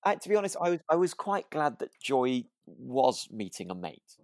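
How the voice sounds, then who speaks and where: A man's voice: medium pitch, big pitch swings, normal speed, no room sound, clean studio sound. A British man co-hosting a podcast, talking in an informal, conversational way about a radio drama. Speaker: podcast co-host. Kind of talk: casual chat discussing a radio drama.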